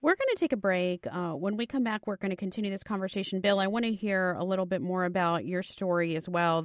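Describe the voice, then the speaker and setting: A woman hosts a radio talk show from a studio, asking questions and announcing the break. She speaks smoothly and clearly. The sound is close and dry. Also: on the low side, no room sound. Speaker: radio host.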